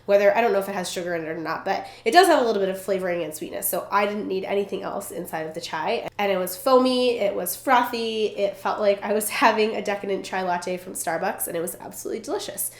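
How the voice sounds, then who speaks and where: A woman's voice: mid-range voice, fast, hardly any pauses. A young woman with a stuffed-up, congested voice chatting casually to camera as a vlogger, bubbly and full of fillers, from Vermont. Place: indoors at a relative's home. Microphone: camera in front while seated. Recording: roomy echo.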